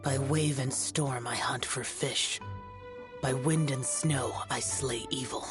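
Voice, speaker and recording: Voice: medium pitch, fairly even intonation, slow pace, natural voice. An actor voicing an aloof, solemn young male immortal guardian in a video game, terse and formal. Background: music.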